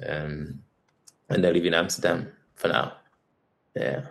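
Very deep-voiced man from Zambia, hesitant. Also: fairly even intonation, takes it slowly, creaky. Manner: hesitant, many fillers and restarts, soft laughs. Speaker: guest, college tutor. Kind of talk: relaxed interview answers.

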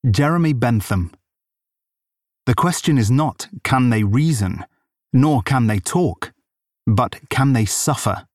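A man narrating an audiobook, reading quotations in a deliberate, formal delivery. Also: mid-range voice, expressive, no echo, studio quality.

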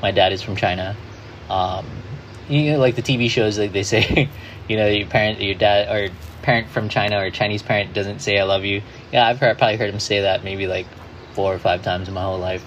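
A man's voice: lowish voice, fairly even intonation, brisk, fairly bright tone, slight background noise. A man, a guest in an interview, reflecting in a loose way full of "like" and "you know". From Hawaii.

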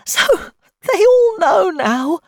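A woman's voice: very high voice, very animated, drawn-out words, a little mellow, no echo, clean studio sound, voice pushed up, full character voice. A woman voicing a distraught foster mother on the phone in an audiobook, her voice trembling and emotional. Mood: distraught, indignant. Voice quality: trembling, breaking with sobs. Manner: emotional, indignant outbursts.

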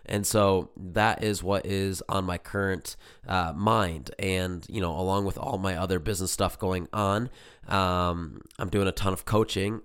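Hoarse deep-voiced man hosting a podcast. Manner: rambling, filler-heavy, earnest. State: hoarse, losing voice from illness. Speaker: podcast host and business coach. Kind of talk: casual solo podcast talk.

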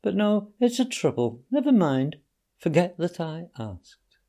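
A man voicing a vain male toad who fakes being gravely ill, speaking languidly and feebly. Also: high voice, sing-song, unhurried, dark tone, voice pushed up, full character voice. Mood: wheedling. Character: vain self-pitying male toad feigning illness.

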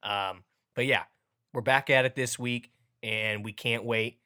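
A man's voice: medium pitch, fairly even intonation, a little slow, pushed and bright, clear, resonant tone. Congested young man hosting a podcast. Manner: upbeat, joking, playfully hammy intros. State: congested from allergies, voice cracking. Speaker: podcast host. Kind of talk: casual podcast chat.

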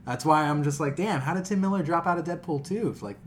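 A man's voice: a little high, quick pace, a little hiss or hum. A man co-hosting a casual gaming podcast, joking in crude, sweary slang. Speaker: podcast co-host.

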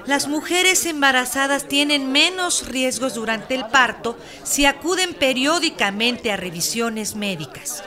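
An actress playing a courteous, helpful helpline operator in a scripted health radio spot, formal and informative, with a Mexican accent. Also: female voice, high-pitched, expressive, measured pace, few pauses, a crowd in the background. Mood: instructive.

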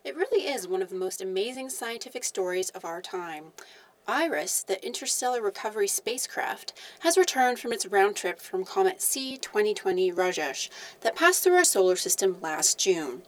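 Animated woman acting as a female news reporter. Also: medium pitch, close to an everyday voice. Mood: awed. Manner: measured, dramatic broadcast delivery. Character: awed, earnest female television news reporter. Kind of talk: news commentary in an audio drama.

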